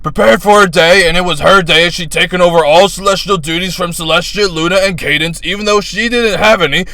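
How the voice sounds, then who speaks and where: A man giving a bombastic, grandiose dramatic reading of a comic fan story in a strained, loud delivery. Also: high-pitched, brisk, dry recording. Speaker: fan fiction reader.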